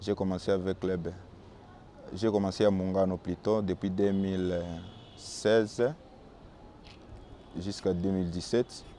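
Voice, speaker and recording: A young man from Bukavu with a Congolese French accent, Swahili his first language, calmly answering interview questions as a football goalkeeper. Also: sits low, a little hiss or hum. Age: young adult. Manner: calm and measured, with small restarts.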